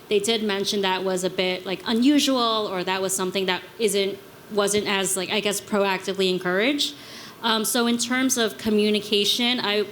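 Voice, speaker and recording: A woman's voice: medium pitch, a bit bright. A woman on a panel sharing work experience with tentative, hesitant phrasing. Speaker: panelist, chief of staff. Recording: clear background noise, live event ambience.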